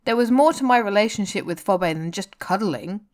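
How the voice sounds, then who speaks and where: An earnest, lovelorn rock guitarist , voiced by a woman reading a story. The lines are character dialogue from fiction, lifted somewhat above the narrating pitch. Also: animated, moderate pace, darkish tone, lightly acted. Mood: confiding, earnest. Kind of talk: audiobook-style character dialogue.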